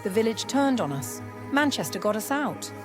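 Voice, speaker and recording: A gracious noblewoman of a period audio drama as a woman narrator acts her out, pitched lightly upward. She chooses every word with care and keeps her guard up. She is gentle, yet leaves no room for argument. Music plays beneath her. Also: moderate pace. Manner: careful, steady, final.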